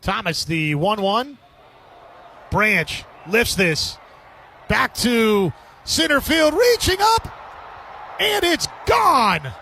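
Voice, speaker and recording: A man calling baseball play-by-play as live radio sports commentary, with a husky edge to his voice. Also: very high voice, sing-song, extremely slow, long pauses, sharp tone, shouting, a little hiss or hum.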